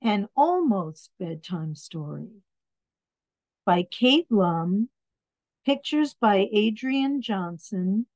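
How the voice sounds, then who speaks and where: A woman reading children's picture books aloud in an expressive way, adding asides about the pictures. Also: medium pitch, no echo, low-quality recording. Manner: expressive, acts out words and dialogue.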